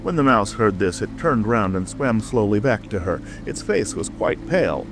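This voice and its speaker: A man narrates a classic storybook in a fairly low voice. His dramatic reading moves through a wide, lively range of pitch, with music clearly playing underneath. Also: moderate pace. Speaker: audiobook narrator. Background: music, rain ambience.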